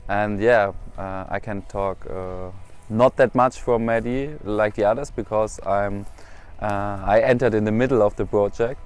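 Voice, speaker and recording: A man from Germany with a German accent, giving an informal testimonial in halting, rephrased non-native English. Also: lowish voice, fairly even intonation, clear, resonant tone. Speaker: project participant, youth and adult educator.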